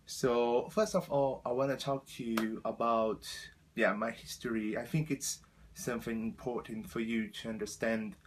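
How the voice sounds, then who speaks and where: A man in his twenties from Brazil with a Brazilian Portuguese accent, speaking halting second-language English as he tells his life story to the camera with many fillers. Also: medium pitch, flat delivery, a little hiss or hum, low-quality recording. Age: 20s. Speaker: vlogger.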